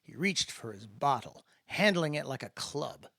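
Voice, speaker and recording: A man's voice: medium pitch, very animated, measured pace, gravelly, fairly bright tone, dry recording, clean studio sound. A man giving a dramatic first-person narration of a story, as in an audiobook. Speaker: author-narrator reading fiction.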